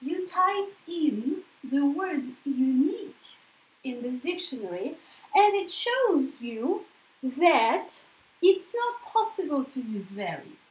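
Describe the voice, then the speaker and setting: A woman's voice: high-pitched, very animated, slow pace, reverberant, rough recording. A Russian-born woman with a Russian accent, teaching an English lesson in a chatty way, often checking in with "yeah?". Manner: chatty, explains with tag questions like "yeah?". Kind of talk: casual spoken lesson.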